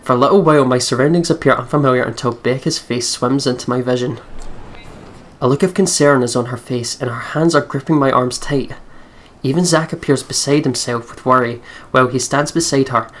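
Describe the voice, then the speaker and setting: A man's voice: medium pitch, a little fast, a bit bright. A man reading a visual novel aloud as a let's player, with casual asides.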